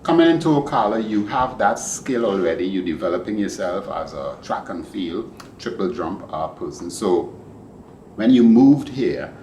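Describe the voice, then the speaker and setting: A man hosting a podcast, interviewing a guest in a casual, rambling and animated way. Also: low voice, moderate pace. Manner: animated, rambling, laughs easily. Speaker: podcast host. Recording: echoey room with background noise.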